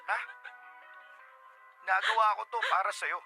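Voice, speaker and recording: A man's voice: high voice, very animated, a little slow, creaky, bright, forceful tone, soft background music, heavily acted. A man with a Filipino accent playing a defensive, pleading young boyfriend in a melodramatic Tagalog radio drama. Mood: defiant. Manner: melodramatic, emphatic.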